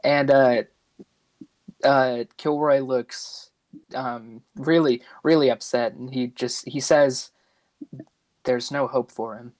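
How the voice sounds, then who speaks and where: A man of middling pitch takes part in a tabletop game. He describes his character's moves hesitantly, pausing to piece them together. The sound is very dry. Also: steady tone, a little mellow, dry recording, rough recording. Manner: hesitant, piecing sentences together. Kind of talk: narrating his character's actions and lines.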